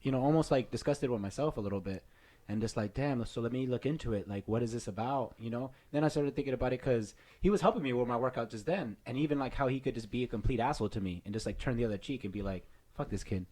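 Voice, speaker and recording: Young man, quick rambling chatter with fillers, drops Spanish phrases, podcast co-host. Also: mid-range voice, warm tone. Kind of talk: casual podcast chat.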